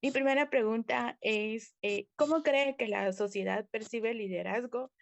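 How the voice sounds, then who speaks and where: A woman's voice: a little high, moderate pace, creaky, dry recording, muffled recording. A woman student interviewer politely asks a question, hesitating with fillers.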